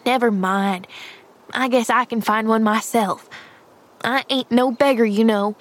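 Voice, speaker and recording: A ragged little boy from a storybook, played by a woman reader. He is proud and defiant, speaking in a fairly high but gruff voice with sullen, folksy, ungrammatical phrasing. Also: steady tone, a little slow, a bit bright. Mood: sullen, indignant. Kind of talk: audiobook character voice.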